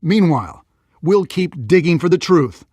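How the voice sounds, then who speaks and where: A polished, dramatic TV news anchor for a game. His voice is fairly high and delivers scripted reports crisply and gravely, with heavy emphasis, in a very dry studio recording. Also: lively intonation, unhurried, a little mellow, no room sound. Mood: solemn, emphatic.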